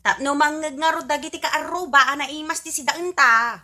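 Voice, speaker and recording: Lady doing a showy ilocano housewife yelling about her cooking, real high voice. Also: a little fast. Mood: teasing. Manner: shouting, showing off her cooking. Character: loud boastful wife Aida, middle-aged. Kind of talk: radio drama acting.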